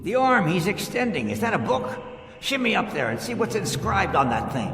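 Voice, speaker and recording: An actor playing a pompous, testy, scholarly expedition leader in an audio drama. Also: male voice, sits high, sing-song, normal speed, a bit bright. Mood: instructive, coaxing. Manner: pompous, theatrical. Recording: long hall reverb, music underneath.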